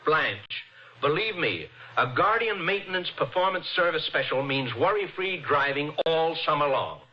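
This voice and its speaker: An actor playing a grouchy sleepy husband. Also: male voice, a little high, expressive, moderate pace, natural voice. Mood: grumpy. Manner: grouchy, exasperated. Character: grumpy sleepy husband in radio comedy. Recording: muffled old radio broadcast.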